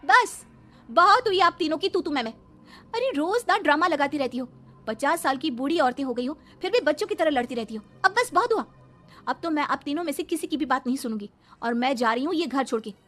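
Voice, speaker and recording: A woman voicing an exasperated young daughter-in-law in a cartoon, scolding forcefully. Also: sits high, expressive, fairly quick. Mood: fed up.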